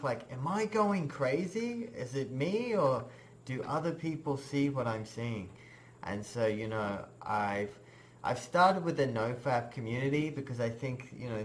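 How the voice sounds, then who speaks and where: A young man raised in Australia hosting a casual podcast interview, chatty, with lots of fillers and quick laughs. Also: medium pitch, slight background noise. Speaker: podcast host.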